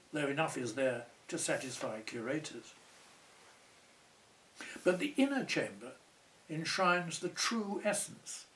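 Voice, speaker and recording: A proud, secretive dead pharaoh speaks from deep in his tomb, as a poet recites him. The delivery is measured and solemn, with a slightly raised male pitch. The voice sits a little back from the microphone. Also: a bit bright. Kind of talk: poetry recitation. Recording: voice somewhat distant from the mic.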